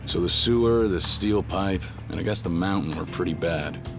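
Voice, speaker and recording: A stoic young yakuza brawler in a game dub, with a very deep, low-pitched voice. He speaks in dry, clipped, understated lines. Game music plays clearly behind him. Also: normal speed. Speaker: game character. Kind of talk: English video game dub. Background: game soundtrack music.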